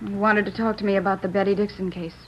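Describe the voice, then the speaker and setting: A woman's voice: medium pitch, flat delivery, quick pace, natural voice. An actress playing a businesslike parole officer in a radio drama, matter-of-fact in her delivery. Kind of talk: 1950s radio drama acting. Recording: muffled old radio, faint noise.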